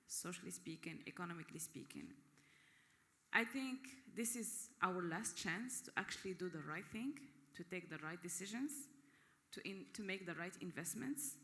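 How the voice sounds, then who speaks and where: A woman's voice: on the low side, sharp tone. A woman from Morocco, speaking emphatically and insistently as a panelist at a conference. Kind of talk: impassioned panel speech at a conference. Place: conference hall, speaking to a room. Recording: echoey conference hall with long reverb.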